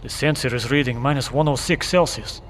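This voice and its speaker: A man's voice: mid-range voice, close to an everyday voice. Audio drama role, male actor: bunker watchman, wary, stoic, formal, in a reverberant, faintly noisy space. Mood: uneasy. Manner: deliberate, formal phrasing without contractions. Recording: echoey bunker reverb with background noise.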